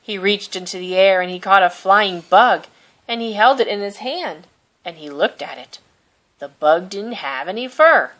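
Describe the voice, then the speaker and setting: A woman reading a children's picture book aloud in a gentle, expressive storytelling manner. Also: mid-range voice, moderate pace. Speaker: storybook reader.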